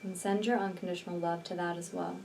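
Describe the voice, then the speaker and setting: A woman leading a guided healing meditation in a slow, soothing, deliberate manner with long pauses between phrases. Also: lowish voice, steady tone, creaky. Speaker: energy healer and meditation guide. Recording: distant mic, faint background noise.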